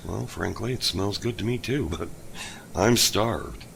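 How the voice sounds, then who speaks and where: An actor playing a kind, lonely older father who lost his son, speaking gently with halting pauses. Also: low voice, creaky, slight background noise, exaggerated voice. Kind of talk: audio drama acting.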